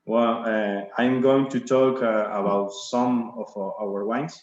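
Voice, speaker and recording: A man in his thirties, an Argentinian winemaker who speaks English with an Argentinian Spanish accent and a Spanish mother tongue, presenting haltingly with many fillers and restarts. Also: medium pitch, fairly even intonation. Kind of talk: hesitant video-call presentation. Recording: echoey lo-fi room audio.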